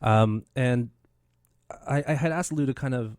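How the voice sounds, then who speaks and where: A man's voice: mid-range voice, unhurried, a little mellow, no echo. A man in his thirties hosting a community radio show in a studio, talking casually with many fillers and restarts. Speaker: radio host.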